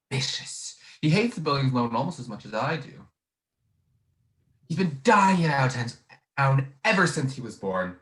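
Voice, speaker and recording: A man's voice: fairly high pitch, a bit bright. A young man playing a domineering, scheming old tycoon in a 1940s-style radio play, with an imperious, cajoling manner. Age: young adult. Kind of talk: 1940s radio drama acting. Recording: echoey room over video call.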